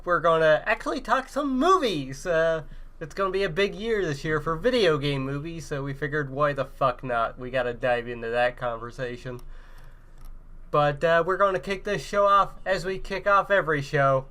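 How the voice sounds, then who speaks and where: A man's voice: on the high side, animated, normal speed, pushed and bright. A man hosting a podcast in a casual, chatty style. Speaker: podcast host.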